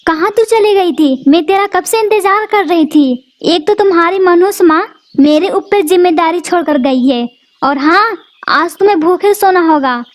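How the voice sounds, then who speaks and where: A vicious cartoon stepmother bird snaps threats in a very high, shrill voice, played by a woman. Her manner is harsh and spiteful throughout. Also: normal speed.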